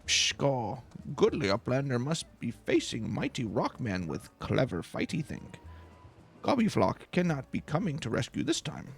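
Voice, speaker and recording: A man's voice: mid-range voice, huge pitch swings, unhurried, gravelly, husky, voice pushed up, exaggerated voice. A man voicing a small, eager goblin creature from a video game, talking in broken grammar with babyish compound words. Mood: excited chatter. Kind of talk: video game character voice by a let's player.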